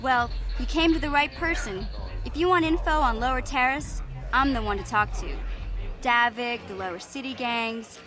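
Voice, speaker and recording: Woman acting a spunky teen alien girl, high voice, cocky, slangy, streetwise, video game dialogue, music underneath. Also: normal speed, natural voice.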